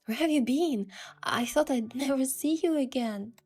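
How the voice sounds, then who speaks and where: A woman's voice: high voice, normal speed, darkish tone, breathy edge. A woman reading scripted first-person romance narration and voicing the heroine. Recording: music behind the voice.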